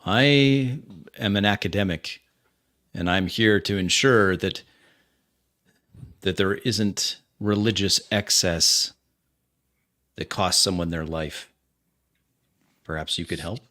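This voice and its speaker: An earnest academic investigator played by a man in a roleplaying game. He is polite, formal and concerned, choosing words hesitantly. The voice sits lowish and has a crisp, bright tension. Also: takes it slowly, long pauses, heavily acted.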